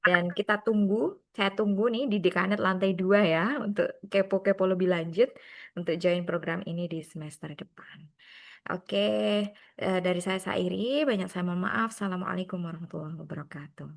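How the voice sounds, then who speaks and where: A woman moderating an online sharing session, friendly and brisk, mixing in English phrases. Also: on the low side, vocal fry.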